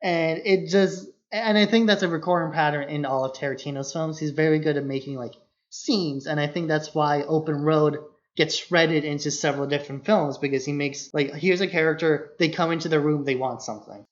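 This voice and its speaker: A man's voice: a little high, fairly even intonation, brisk. Casual critical man, slightly lo-fi sound. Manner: analytical, critical, says "like" often. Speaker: podcast co-host. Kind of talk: casual film discussion. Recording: slightly dull lo-fi podcast audio.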